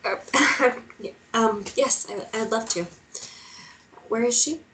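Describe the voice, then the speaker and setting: A woman's voice: a little high, slow pace, fairly bright tone, slight background noise, rough recording. A woman acting an affectionate, teasing girlfriend in a drama script read. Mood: hesitant. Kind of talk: script table read, acted dialogue.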